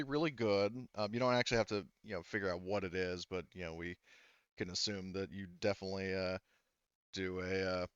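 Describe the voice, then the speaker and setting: A man speaking casually and haltingly as game master, explaining and narrating a tabletop roleplaying game. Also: lowish voice, fairly bright tone, smooth, dry recording, muffled recording.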